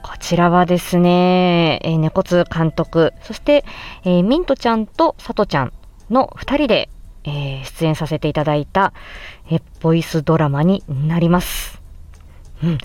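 A woman's voice: on the low side, normal speed, a little mellow. A woman voice actor talking casually on her own, presenting her work in a polite, conversational and reflective manner. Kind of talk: casual solo announcement talk.

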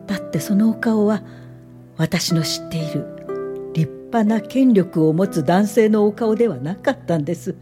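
A woman's voice: mid-range voice, music in the background, natural voice. An actress playing a thoughtful woman in her late fifties, a welfare official, in a radio drama, speaking politely and reflectively. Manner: polite, measured, reflective storytelling. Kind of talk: radio drama narration and dialogue.

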